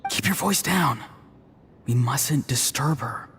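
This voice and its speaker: Earnest young fantasy hero, medium pitch, polite, reassuring, anime game, long reverb, light music behind. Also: male voice, slow. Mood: hushed, excited. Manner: polite, reassuring, soft-hearted. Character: earnest, gentle, dutiful young grandson.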